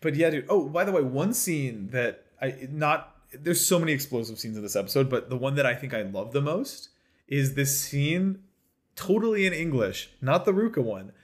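A man's voice: medium pitch, lively intonation, normal speed. A man co-hosting a podcast, chatting casually and animatedly, laughing often and restarting his sentences. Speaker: podcast co-host. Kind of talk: casual chat recapping a reality show.

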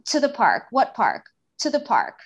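Earnest, animated New woman. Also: on the high side, normal speed. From New York. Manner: earnest and animated, acts out quoted dialogue. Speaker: guest, daughter and caregiver. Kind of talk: candid interview conversation.

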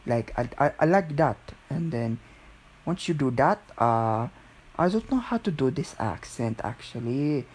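A man's voice: medium pitch, lively intonation, measured pace, darkish tone, breathy edge. A man chatting casually and jokingly, rambling along in a put-on Filipino accent. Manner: jokey, often breaking into giggles.

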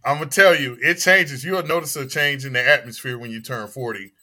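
Podcast host, man, early forties, bright tone, animated and emphatic. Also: medium pitch, brisk. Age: 40s. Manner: animated, emphatic, repeats phrases. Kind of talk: casual chat.

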